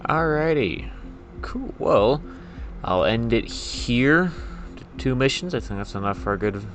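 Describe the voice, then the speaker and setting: A man's voice: medium pitch, animated, measured pace, fairly bright tone. A man hosting a gameplay video with casual commentary and informal, half-to-himself reactions. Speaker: gaming video host. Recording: game music behind the voice.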